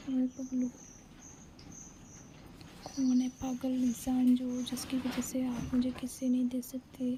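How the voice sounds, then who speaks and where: A young woman with a soft, breathy voice, often near a whisper, talking in a cutesy, coaxing, affectionate way as a girlfriend on an intimate romantic phone chat. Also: high-pitched, monotone, takes it slowly, long pauses, slight background noise. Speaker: girlfriend in a one-sided phone-call roleplay.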